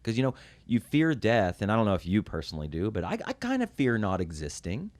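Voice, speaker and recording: A man's voice: lowish voice, wildly swooping pitch, brisk, darkish tone, dry recording. A man in his late thirties chatting casually as a podcast host, in a joking, digressive and sweary way. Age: late 30s.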